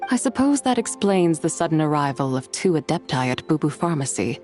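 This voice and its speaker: A learned, courteous lady from a fantasy video game. Her voice sits slightly low, with composed and measured delivery. She phrases everything formally, in an old-world way. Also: sing-song, a little mellow, faint music behind. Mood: grave, thoughtful.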